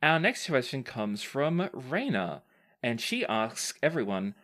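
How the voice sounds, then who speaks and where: An effusive podcast host with a mid-pitched voice reads listener questions. They run the game and talk in a casual, thankful way, swearing now and then. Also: very animated, slow pace, a bit bright. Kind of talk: podcast question-and-answer hosting.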